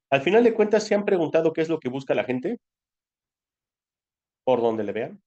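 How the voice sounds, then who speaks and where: A man's voice: mid-range voice, darkish tone, lo-fi sound. A man with a Mexican Spanish accent giving an informal spiritual lesson, talking conversationally like a teacher. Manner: conversational, asks rhetorical questions and answers them. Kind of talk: informal explanatory spiritual talk.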